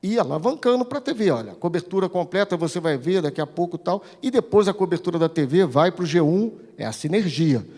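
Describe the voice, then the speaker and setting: A Brazilian man with a somewhat high voice presents at a conference. He explains things in an informal, didactic way, posing questions and then answering them. The hall gives his voice a long reverb. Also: lively intonation, brisk, hardly any pauses, darkish tone. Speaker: speaker at a conference, regional TV news editor-in-chief. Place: large indoor conference hall.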